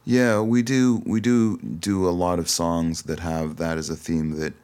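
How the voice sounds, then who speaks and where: A man's voice: low voice, little pitch movement, unhurried, darkish tone, clear, resonant tone. A man from Toronto, Canada, talking casually and reflectively as a musician guest in an interview, often hesitating. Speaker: singer-songwriter guest.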